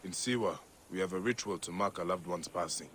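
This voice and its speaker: An actor voicing a grieving, vengeful Egyptian warrior in a video game, with an intense, earnest delivery. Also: male voice, low-pitched, fairly quick, a bit bright, a little hiss or hum. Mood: gentle, sombre. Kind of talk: dramatic video game cutscene acting.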